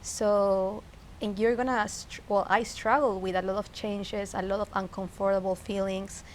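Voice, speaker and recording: A woman with a Peruvian Spanish accent shares personal reflections in casual conversation. Her voice sits at a middle pitch and her phrasing is searching and a little hesitant, with clear noise behind her. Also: flat delivery, normal speed. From Peru. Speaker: interview guest. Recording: clear background noise, ambience.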